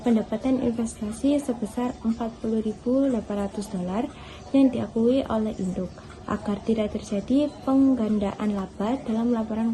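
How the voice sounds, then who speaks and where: A woman's voice: a little high, mellow, poor audio. A young adult woman giving a scripted student presentation in a formal, read-out manner.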